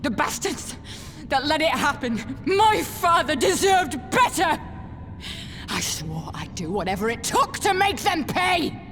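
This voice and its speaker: A woman's voice: high-pitched, big pitch swings, a little slow, a bit bright, faint music behind, heavily acted. A woman voicing a bitter, vengeful young woman soldier in a video game cutscene, intense and anguished. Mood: furious, anguished.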